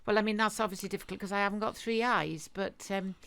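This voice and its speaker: A woman's voice: medium pitch, fast talker, creaky, fairly bright tone. A woman actor chatting casually from her home back room into a microphone on a stand, self-deprecating and laughing often. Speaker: actor, guest. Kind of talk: casual chat. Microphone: studio microphone on a stand with foam shield.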